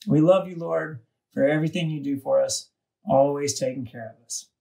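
A man's voice: fairly high pitch, moderate pace, vocal fry, warm tone. A man reading a scripted devotional for children, recorded at some distance from the microphone. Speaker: kids' devotional reader.

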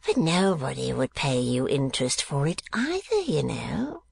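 Storybook old miser: high swooping cracked voice, slow and husky, sly, fearful, wheedling. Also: male voice, wildly swooping pitch, exaggerated voice. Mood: cunning. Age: older. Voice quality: croaking, wheezy cackle, husky and cracked. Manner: faltering, wheedling, repeats himself. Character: anxious, sly, cowardly old miser, tittering bridegroom.

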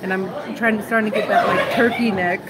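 A woman's voice: medium pitch, fairly even intonation, fast, very noisy. A woman in her thirties chatting casually and self-mockingly into a phone held at arm's length. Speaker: vlogger. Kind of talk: casual vlog chat. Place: busy winery patio, indoors-outdoors. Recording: phone video amid loud crowd. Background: loud crowd chatter.

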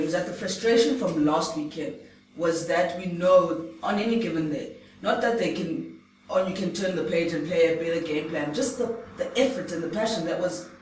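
A man with a high, bright voice hosts a relaxed sports panel. He talks with a teasing, lively edge. The sound is roomy and picked up from some distance, with a faint hiss behind. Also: moderate pace. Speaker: show host. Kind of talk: casual studio sports chat. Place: small studio room, indoors. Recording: echoey room from a distance, faint noise.

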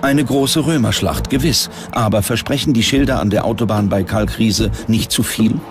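A man's voice: on the low side, normal speed. A man reading scripted narration for a history documentary. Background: clear background music.

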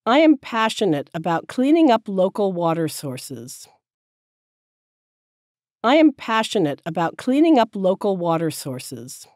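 Slow, clear woman reading drill sentences. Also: mid-range voice, sing-song, darkish tone, no echo, clean studio sound. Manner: careful, clear enunciation. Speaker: English teacher.

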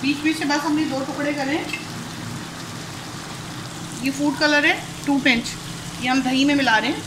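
A woman with a Pakistani Urdu accent, calmly talking through a cooking demonstration step by step in her home kitchen. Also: high voice, moderate pace. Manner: calm step-by-step explaining. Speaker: cooking channel host. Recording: echoey kitchen with sizzling noise.

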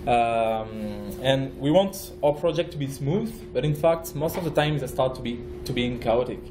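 A man from France with a French accent, giving a conference talk as a project manager, halting, with many fillers and restarts. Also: mid-range voice, echoey room, music in the background. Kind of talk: conference presentation. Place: conference room.

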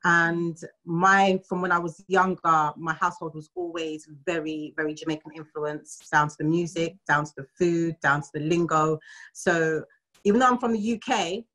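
London woman, english accent, lowish voice, chatty and personal on a video call. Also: fairly even intonation, normal speed, a bit bright, low-quality recording.

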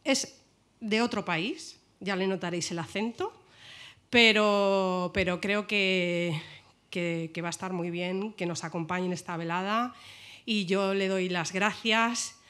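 A woman's voice: medium pitch, takes it slowly, a bit bright. A middle-aged woman, a hotel director, giving a chatty event speech at a podium mic in a hotel lounge, with fillers and restarts. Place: hotel lounge with large windows. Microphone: podium mic on a stand.

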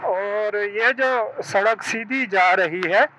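Male moto vlogger, Pakistani accent, notably high pitch, very slow explanatory delivery, noisy outdoor traffic recording. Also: highs cut off. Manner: explanatory, addresses viewers directly. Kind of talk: moto vlog commentary to viewers. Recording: loud vehicle and road noise.

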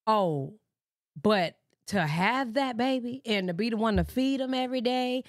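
A woman in her thirties hosting a livestream, reacting and talking straight to her viewers in a sassy, animated way. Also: fairly high pitch, unhurried, no echo, clean studio sound. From Michigan. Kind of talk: casual livestream reaction commentary. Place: bedroom streaming setup with pink lights. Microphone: desk mic in front of her.

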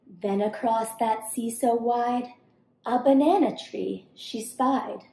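A young woman reading a rhyming children's story aloud expressively and deliberately. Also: on the high side, warm tone. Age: young adult. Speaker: storybook reader. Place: home kitchen-dining room, indoors. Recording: roomy indoor echo.

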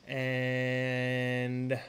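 A man's voice: medium pitch, monotone, drawn-out words, a bit bright, clear, resonant tone. A man in his thirties streaming live from a home workshop, chatting casually with viewers, rambling and swearing with lots of fillers. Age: 30s. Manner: rambling, profane, full of fillers and restarts. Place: home workshop room, indoors.

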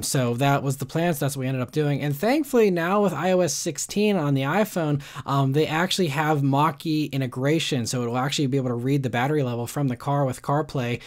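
Fast-talking man narrating a road trip. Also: medium pitch, a little mellow. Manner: rambling, lots of "you know" and "uh" fillers. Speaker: car reviewer. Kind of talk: casual vlog narration.

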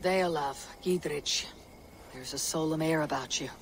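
A stoic Viking warrior leader in a game cutscene. The voice sits fairly high for a man, solemn and measured, with ambient noise behind it. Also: vocal fry, low-quality recording. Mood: calm, grave. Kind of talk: dramatic video game cutscene acting.

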